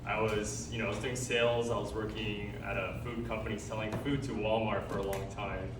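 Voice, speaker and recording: A man giving a casual, halting account of himself as a guest on a live panel. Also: on the low side, little pitch movement. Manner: informal, halting, repeats words. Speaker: content creator, panel guest. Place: indoor department store event. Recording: roomy event space, distant mic, clear background noise.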